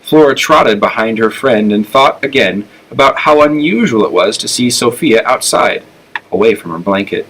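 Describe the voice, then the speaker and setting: A man reading a children's story aloud with expressive narration. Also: medium pitch, moderate pace, poor audio. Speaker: elementary school storyteller.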